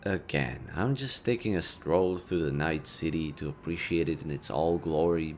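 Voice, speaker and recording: Male actor as a smug city villain, low voice, taunting and theatrical, secretly caring, audio roleplay, noisy ambience behind. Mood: taunting, exasperated. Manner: taunting, casual, sweary, theatrical. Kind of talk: audio roleplay addressed to the listener.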